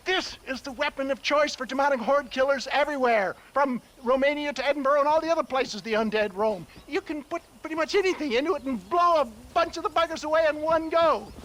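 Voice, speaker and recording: A man's voice: extremely high pitch, brisk, hardly any pauses, a bit bright. An actor playing a shifty, cowardly old snake-oil salesman in a video game, with a pompous, wheedling, hemming-and-hawing manner. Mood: boastful, scornful. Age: older. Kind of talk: dramatic video game cutscene acting.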